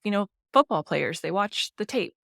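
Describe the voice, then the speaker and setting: A woman with a naturally slightly low voice, co-hosting a casual book-discussion podcast and talking thoughtfully with many fillers. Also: expressive, moderate pace, creaky, fairly bright tone, dry recording, clean studio sound. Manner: thoughtful, with frequent "you know" and "um". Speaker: podcast co-host.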